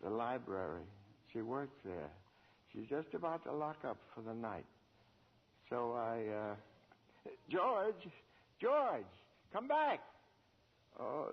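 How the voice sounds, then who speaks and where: An actor playing a kindly, flustered elderly angel in a 1940s radio drama, earnest and hesitant, on an old muffled, reverberant recording. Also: male voice, medium pitch, big pitch swings, slow pace, gravelly, slightly rough, full character voice. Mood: reluctant.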